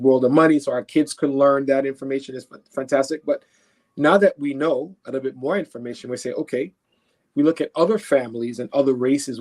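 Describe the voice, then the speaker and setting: Male expert guest from Haiti, mid-pitched, conversational and emphatic, explains by example. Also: darkish tone. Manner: explains through imagined first-person quotes; emphatic, often checking with "right?". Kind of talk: conversational interview answers.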